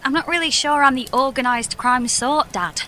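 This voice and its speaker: Woman as a high-voiced reluctant heroine. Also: normal speed, slight background noise. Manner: hesitant, deadpan. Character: reluctant, exasperated heroine daughter, awkward and unsure. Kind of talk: scripted audio comedy drama.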